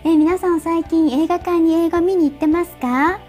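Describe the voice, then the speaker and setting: A woman with a very high voice reads scripted television news. She articulates politely and clearly, with soft music faintly behind her. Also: fairly even intonation, normal speed. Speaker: news presenter. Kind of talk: scripted news presentation and narration. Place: TV studio.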